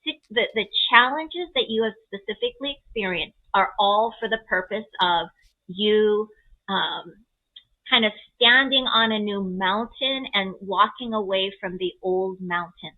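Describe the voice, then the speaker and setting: A woman speaking as an astrologer, explaining a chart reading conversationally in a gentle, encouraging way with simple analogies. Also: mid-range voice, measured pace, phone-quality sound.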